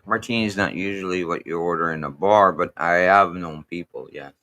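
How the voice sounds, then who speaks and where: Guy cohosting a chat show, lowish but bright voice, stops and restarts a lot, blunt. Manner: halting, restarts often, blunt opinions. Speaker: co-host. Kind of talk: casual chat.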